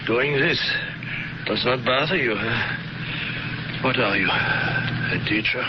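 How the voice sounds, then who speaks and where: An actor playing a cold, formal former camp doctor, on an old radio drama. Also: male voice, mid-range voice, takes it slowly, lightly acted. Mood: defiant. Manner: stiff, formal, defensive. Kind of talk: 1970s radio drama acting. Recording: dull old radio broadcast with hiss.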